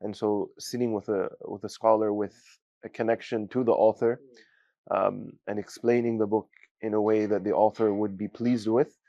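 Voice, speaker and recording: A young man from giving a student testimonial, talking haltingly with many fillers and Arabic phrases. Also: on the low side, little pitch movement, mellow, no room sound, dull audio. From United States. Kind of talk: casual spoken testimonial.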